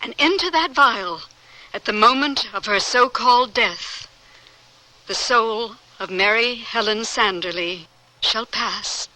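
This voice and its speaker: An actress playing a devoted, serene woman scientist facing death with fervent conviction, in measured, earnest old-time radio drama style. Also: a little high, pushed and bright, low-quality recording. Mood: fervent, visionary. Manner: theatrical, earnest, measured delivery.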